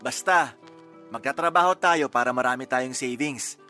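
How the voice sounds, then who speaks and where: A man acting a grateful, gently teasing boyfriend in a Tagalog radio romance drama, affectionate and conversational. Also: medium pitch, moderate pace, faint music behind, close to an everyday voice.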